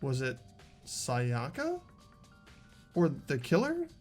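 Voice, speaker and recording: A man voicing an earnest, anguished teenage boy student investigating a murder, in dramatic halting monologue for a visual novel. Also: medium pitch, huge pitch swings, soft background music. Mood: puzzled, earnest. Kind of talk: voiced visual novel reading.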